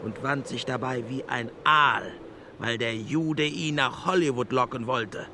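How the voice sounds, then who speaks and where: An actor playing a pompous, judgmental Nazi propaganda minister in a radio drama, speaking in a declamatory way. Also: male voice, mid-range voice, unhurried, bright, forceful tone, a little hiss or hum. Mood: accusing.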